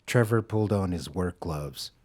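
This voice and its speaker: A man narrating a scripted audio drama as a measured, literary storyteller. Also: deep voice, a little mellow.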